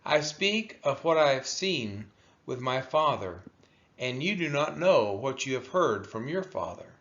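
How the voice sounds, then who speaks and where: Slow, expressive man reading scripture aloud. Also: mid-range voice, dull audio. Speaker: scripture reader. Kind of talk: solemn scripture read-aloud.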